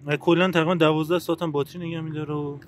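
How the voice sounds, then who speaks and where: A young man with a slightly raised voice banters playfully through a casual unboxing, often cutting across his friend. He sounds like a vlogger chatting off the cuff rather than reading. Steady noise from the room sits clearly behind him. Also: fairly high pitch. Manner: playful, jokey, talks over his friend. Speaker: vlogger unboxing a walkie-talkie.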